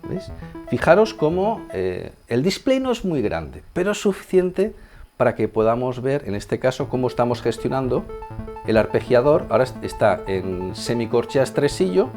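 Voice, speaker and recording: A man's voice: medium pitch, very animated, normal speed, over music. A man with a Castilian accent from Spain explaining gear step by step in an informal, conversational tutorial, often checking in with his listeners. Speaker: sound engineer demonstrating a synthesizer. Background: synthesizer sounds being demonstrated.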